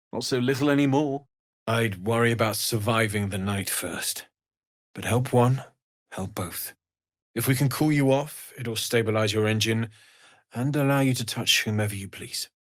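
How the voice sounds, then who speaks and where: A man voicing a kindly, earnest young tiefling blacksmith in a video game, speaking in a gentle, sincere, measured way. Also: lowish voice. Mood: warm, intrigued, then gentle, serious. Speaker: voice actor. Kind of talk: video game character dialogue.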